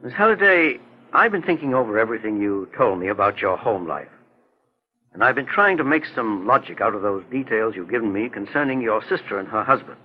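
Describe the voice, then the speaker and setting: An actor playing a calm, mature male private investigator in a 1940s radio drama, speaking in a measured, earnest, authoritative way. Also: fairly low pitch, breathy edge. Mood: grave, deliberate. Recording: muffled vintage 1940s radio recording.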